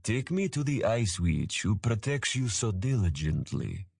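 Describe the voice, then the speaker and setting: A man voicing an ancient male dragon in a fantasy audiobook, gravelly, formal, grave and patient, with weighty, slow delivery. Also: on the low side, very animated, a little mellow, no echo, clean studio sound, pitched above the performer's own voice, full character voice. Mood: commanding. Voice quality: gravelly, tight with disuse.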